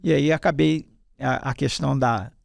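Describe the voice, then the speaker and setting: A man's voice: mid-range voice, steady tone, moderate pace, vocal fry, no echo. A middle-aged man with a Carioca accent, telling his life story in a casual interview, rambling with many fillers and restarts. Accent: Carioca Brazilian Portuguese. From Rio de Janeiro, Brazil. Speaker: hematologist guest.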